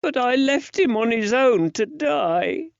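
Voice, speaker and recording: A man's voice: high voice, measured pace, dry recording, highs cut off, pitched above the performer's own voice. A man voicing a frightened, grieving working-class boy who speaks plaintively in an old-fashioned rustic dialect. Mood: guilty, distressed.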